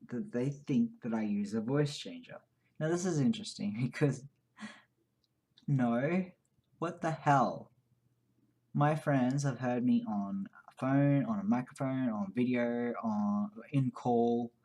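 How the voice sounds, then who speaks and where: Creaky, husky young man chatting candidly. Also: medium pitch, a little mellow. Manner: rambling, candid, laughs at self. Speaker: vlogger. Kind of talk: casual personal chat to camera. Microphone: new microphone, quiet level.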